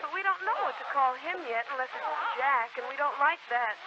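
A woman's voice: sits high, lively intonation, a little fast. A woman playing an emotional, dutiful young daughter in 1940s radio acting. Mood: excited. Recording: very noisy, muffled 1940s radio recording.

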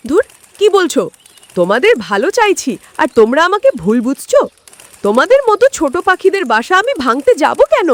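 A woman's voice: sits high, very animated, normal speed, no echo, pitched above the performer's own voice. A woman voicing a jealous, scheming, arrogant crow in a cartoon fable, sly and falsely friendly. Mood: indignant. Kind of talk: cartoon character voice in a moral tale.

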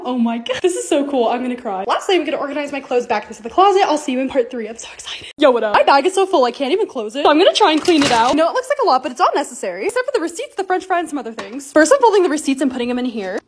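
A young woman in her twenties with a slightly raspy voice, chatting excitedly in a casual voice-over while organizing her home. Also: sits high, a little hiss or hum. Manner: chatty, excited, quick and bubbly. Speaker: TikTok creator.

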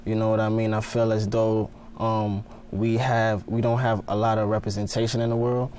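A young man from Ghana talks easily in a TV studio, his voice low and flat. He drifts along with loose fillers. A faint hum sits under him. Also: normal speed. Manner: laid-back, lots of fillers like "you know what I mean". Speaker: guest, fashion designer. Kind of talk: casual interview answers.

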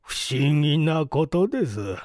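Man voicing a slow, formal old village elder. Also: fairly high pitch, big pitch swings, a little mellow, dry recording, clean studio sound. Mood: puzzled. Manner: formal, measured, firm. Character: dignified old male village elder denying accusations under questioning. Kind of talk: audiobook character reading.

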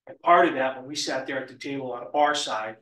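A man, a union representative, hoarse from a cold, giving a formal explanation at a school board meeting from a podium. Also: medium pitch, brisk, a bit bright, recorded from a distance. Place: meeting room, standing at a wooden podium. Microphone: podium mic, heard from a far camera. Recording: echoey room, voice heard from afar.

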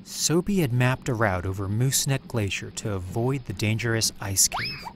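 A man's voice: mid-range voice, huge pitch swings, moderate pace, background noise. A man giving a dramatic scripted narration of a story as its narrator. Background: music.

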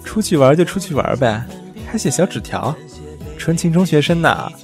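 A man's voice: a little high, big pitch swings, moderate pace, gravelly, faint music behind. A man playing a cheeky young friend in an audio drama, speaking in a teasing way. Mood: mocking, playful. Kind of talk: Mandarin audio drama acting.

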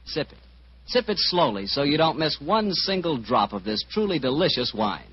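An American man works as a radio announcer in a polished 1940s sales style. His fairly high voice swings widely in pitch. The old broadcast sounds muffled, with noise behind him. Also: big pitch swings, normal speed.

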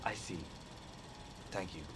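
A man's voice: slow pace, noisy background, close to an everyday voice. An actor playing an earnest, businesslike male laundry worker in video game dialogue. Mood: fretful. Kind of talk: acted game background dialogue.

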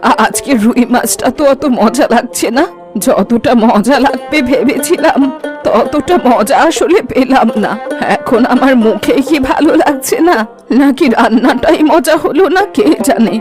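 A woman's voice: sits high, expressive, measured pace. A woman voicing an old village granny in a cartoon, grumbling and musing to herself. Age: older. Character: old village granny living alone, fond of food, grumbling. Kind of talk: Bengali cartoon voice acting. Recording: music behind the voice.

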